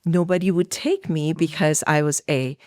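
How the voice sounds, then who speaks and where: A woman's voice: low voice, fairly even intonation, normal speed, darkish tone, dry recording, clean studio sound. A middle-aged woman from India talking as an interview guest, telling personal stories conversationally and acting out bits of remembered dialogue. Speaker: podcast guest, actor.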